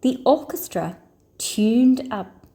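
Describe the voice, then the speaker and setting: A woman storyteller reading a children's picture book aloud in an expressive, deliberate way. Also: fairly high pitch, gravelly.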